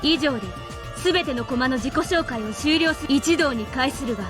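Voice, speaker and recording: Formal high-voiced female attendant played by a woman. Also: fast talker, music in the background, natural voice. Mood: solemn, formal. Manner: formal, announcing. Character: formal military maid-like female attendant announcing ceremony.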